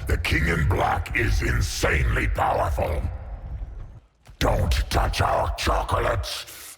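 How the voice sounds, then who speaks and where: Deep, gravelly alien symbiote monster. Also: male voice, exaggerated voice. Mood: defiant. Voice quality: growling, gravelly. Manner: speaks of itself as "we", theatrical. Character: alien symbiote creature bonded to host, menacing yet possessive. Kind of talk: video game character voice lines. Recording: music under the voice.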